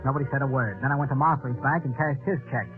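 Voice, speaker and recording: A man's voice: medium pitch, racing, close to an everyday voice. A tinny vintage radio recording with prominent music carries a male actor as a desperate American gambler. He sounds like a jittery everyman of 1940s radio noir, clipped and evasive, with a guilty secret weighing on him. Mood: tense, brooding. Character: desperate, jittery male gambling insurance salesman hiding murder. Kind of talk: 1940s radio drama acting and first-person narration.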